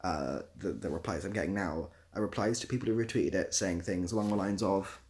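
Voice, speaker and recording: A man's voice: deep voice, a little fast, a little mellow. A young British man chatting casually on a livestream, rambling a little and dryly playful as he responds to viewers. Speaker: live streamer. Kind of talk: casual livestream chat, answering viewer comments.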